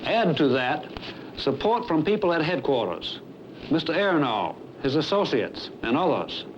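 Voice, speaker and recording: An American man in his fifties delivers a formal staff address as a company executive. His voice is fairly high and very animated, with earnest, deliberate emphasis. It comes off a muffled 1970s film soundtrack with faint noise. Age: 50s. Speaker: airline executive presenting a staff training film.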